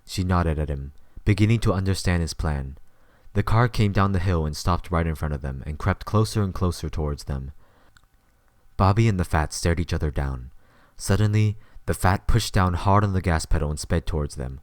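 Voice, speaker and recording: Casting: male narrator, low register, close dry recording, scripted storytelling. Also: moderate pace, no echo.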